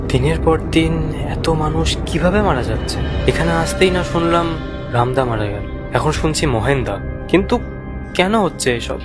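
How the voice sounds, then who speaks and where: Man acting a doubtful young man, slightly high voice, questioning, cartoon horror dialogue, clear music behind. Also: animated, moderate pace, close to an everyday voice. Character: curious, skeptical young male visitor. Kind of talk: cartoon ghost story dialogue.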